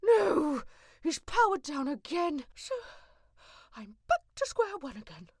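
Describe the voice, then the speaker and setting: A woman playing a determined, affectionate, resourceful adventurer in an audio drama, expressive and commanding. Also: high voice, slow, dry recording, clean studio sound, full character voice. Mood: busy, frustrated. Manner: expressive, thinking aloud, commanding.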